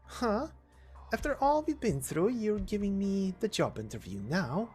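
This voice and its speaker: Narrator putting on a cocky young merc girl for a game story, pitched up and swingy, taunting, music behind. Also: sing-song, measured pace. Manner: taunting, flippant. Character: cocky, teasing young woman mercenary W.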